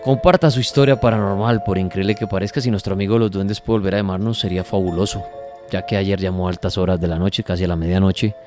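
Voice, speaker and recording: A man's voice: low-pitched, steady tone, fast. A Colombian man hosting a live late-night radio call-in show about the paranormal. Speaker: radio host. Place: radio studio. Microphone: studio broadcast microphone. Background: faint music.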